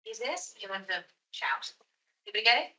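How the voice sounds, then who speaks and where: A woman's voice: medium pitch, big pitch swings, moderate pace, bright, forceful tone. A woman hosting a charity event, encouraging guests and praising them between questions. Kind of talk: warm-up interviewing. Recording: distant mic.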